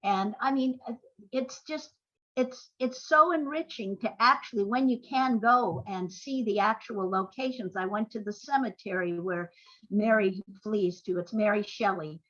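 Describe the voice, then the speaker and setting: A woman's voice: medium pitch, a little slow, fairly bright tone. Older woman storyteller on muffled audio. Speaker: guest playwright. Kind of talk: anecdotal podcast interview talk.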